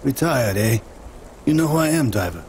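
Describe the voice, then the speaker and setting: An actor playing a menacing, philosophical male crime boss in a video game, speaking in terse, measured lines with quiet threat. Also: mid-range voice, animated, slight background noise, close to an everyday voice. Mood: quietly threatening.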